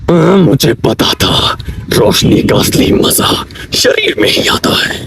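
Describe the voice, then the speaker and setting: A man voicing a sadistic anime demon villain, gloating slowly and menacingly in a breathy, whispery voice. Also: a little high, very animated, heavily acted. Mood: menacing glee. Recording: noisy outdoor recording, distant mic, traffic.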